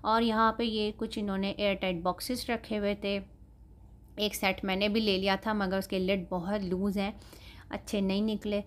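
A woman's voice: mid-range voice, flat delivery, normal speed. Chatty woman with Pakistani Urdu accent. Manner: chatty, frank, judging prices and quality item by item. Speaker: vlogger. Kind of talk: casual shopping haul vlog. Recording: voice-over with loud background music. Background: music.

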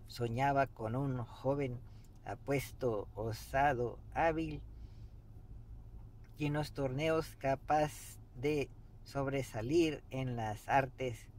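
A man in his fifties reading a book aloud meditatively in a halting way, pausing mid-sentence, recorded inside a car. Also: medium pitch, low-quality recording. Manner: halting, pauses mid-sentence, clears throat. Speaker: amateur reader of a book. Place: inside a parked car by a street. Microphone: camera an arm's length or so away. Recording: steady background noise inside a car.

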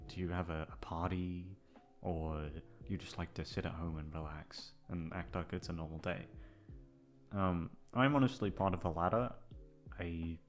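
A man in his twenties chatting casually and hesitantly to listeners, self-deprecating and swearing freely as he rambles. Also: low voice. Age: 20s. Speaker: voice actor addressing listeners. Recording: music playing behind the voice. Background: background music.

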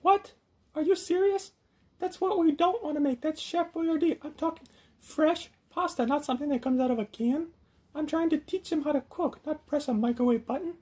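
A bossy, easily exasperated lady chef puppet, acted out by a man hosts a comic cooking show. The voice is high yet soft-edged and dark in tone. She delivers everything with theatrical indignation. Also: moderate pace. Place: home garage.

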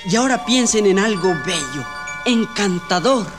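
An actor with a Mexican accent playing a confident, adventurous magical boy with a brisk, commanding manner on a 1950s children's record. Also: medium pitch, expressive, natural voice. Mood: eager. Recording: vintage record, music behind.

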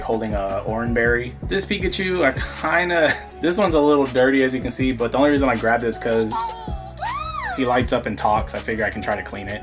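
A young man with an American accent talks casually to his viewers. His pace is brisk and his pitch sits in the middle of the male range. Music plays clearly behind him. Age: young adult. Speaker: vlog host, thrift reseller. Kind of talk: casual vlog chat to camera. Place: small indoor room with shelves of collectibles.

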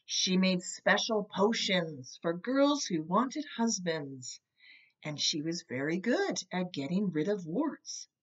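Female storybook narrator, middle-aged, measured and animated in pitch, a little lo-fi. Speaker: storybook reader. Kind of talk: read-aloud children's story narration. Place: indoor room with bookshelves.

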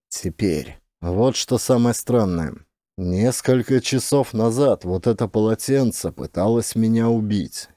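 A man's voice: fairly low pitch, dark tone, no echo, clean studio sound. A man voicing a hard-bitten, wry male space officer in an audiobook, terse and emphatic.

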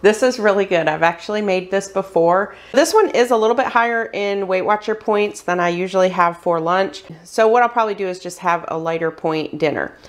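A woman's voice: mid-range voice, a little fast, hardly any pauses. Chatty woman walking through recipes. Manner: chatty, explains step by step. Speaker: cooking channel host. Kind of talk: casual meal prep tutorial. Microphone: voice-over while hands cook on camera.

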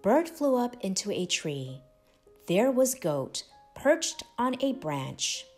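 A woman narrating a children's storybook expressively, with deliberate, dramatic emphasis. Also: medium pitch, very animated, faint music behind. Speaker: storybook narrator, host of read-aloud channel. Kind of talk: expressive children's read-aloud.

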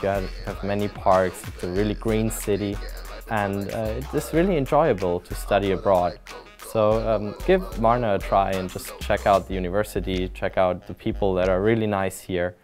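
Young male student, lowish voice, relaxed pitch for the city, music underneath. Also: moderate pace. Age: young adult. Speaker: medical student. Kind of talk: interview testimonial. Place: indoor library room.

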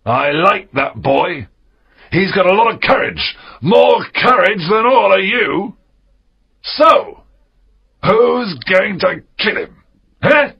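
Gravelly, wildly swooping pirate captain. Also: male voice, on the high side, sing-song, takes it slowly, pauses often, lo-fi sound, exaggerated voice. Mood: furious, defiant. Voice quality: gravelly, husky. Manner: theatrical, drawling, swooping delivery. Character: fierce, cunning pirate captain, bold and domineering. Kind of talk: dramatised audiobook acting.

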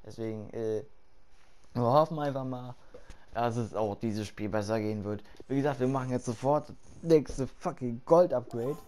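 Hoarse man, casual gaming commentary, swears freely, thinks aloud, mid pitch. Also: normal speed. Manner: loud and excited at the start, quieter later. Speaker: gaming YouTuber. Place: home room at night.

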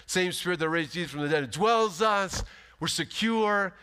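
A pastor in his fifties giving an animated, emphatic sermon from a church stage. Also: high-pitched, normal speed, clear, resonant tone. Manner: animated, emphatic preaching with big gestures. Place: large church stage, indoors. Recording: long hall reverb.

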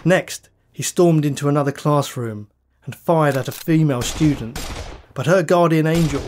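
A man hosting a true crime podcast, reading scripted narration in a measured, dramatic storytelling manner. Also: on the high side, darkish tone, slight background noise. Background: background music.

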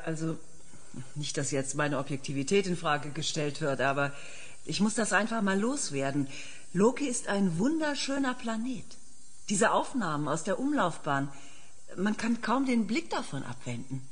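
Low-voiced, fascinated female scientist. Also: breathy edge. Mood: confiding, enchanted. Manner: precise, lecturing, openly fascinated. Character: enthusiastic female planetary scientist, a professor. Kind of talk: German audio drama acting.

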